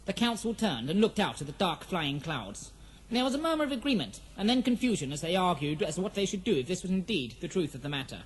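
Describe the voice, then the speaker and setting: A man's voice: high voice, big pitch swings, fast, slightly rough, full character voice, poor audio. A man playing the storyteller of an epic fantasy audio drama, giving formal, dramatic scripted narration. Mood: dramatic, measured.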